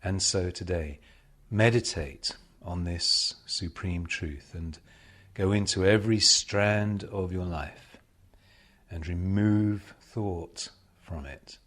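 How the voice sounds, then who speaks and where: A man leading a guided meditation as a spiritual teacher, speaking solemnly and deliberately, with measured pauses and repeated phrases. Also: low-pitched, a bit bright.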